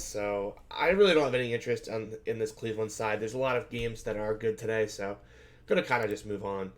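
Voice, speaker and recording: A man with a hoarse, scratchy voice hosting a solo fantasy basketball preview, talking things through with lots of fillers and self-corrections. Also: mid-range voice. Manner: conversational, filler-heavy, thinks aloud and self-corrects. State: hoarse, scratchy voice from shouting at a game. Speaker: fantasy basketball analyst host. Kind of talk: solo sports betting slate breakdown.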